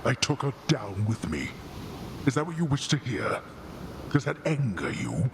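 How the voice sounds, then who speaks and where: An actor playing a stern, imperious god of the dead in a video game, speaking with scornful, deliberate command. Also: male voice, mid-range voice, wildly swooping pitch, background noise. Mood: angry, taunting. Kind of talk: dramatic video game acting.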